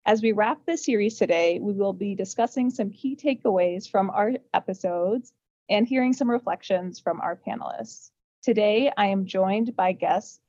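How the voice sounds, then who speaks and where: A woman's voice: medium pitch, warm tone, dry recording. Woman hosting a podcast, dull dry audio. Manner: reads polished intros, then moderates with fillers. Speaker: podcast host.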